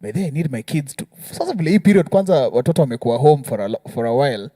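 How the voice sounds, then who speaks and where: Kenyan man explaining, mellow and close. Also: mid-range voice, animated, rapid-fire, no echo. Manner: explains with examples and rhetorical check-ins. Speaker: musician panelist. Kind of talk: panel discussion, explanatory advice.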